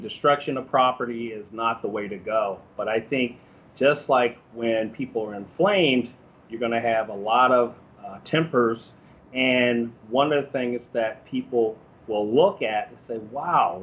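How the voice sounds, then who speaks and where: A man's voice: medium pitch, fairly bright tone. American man on dull lo-fi audio. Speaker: interview guest. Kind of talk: conversational opinion and commentary.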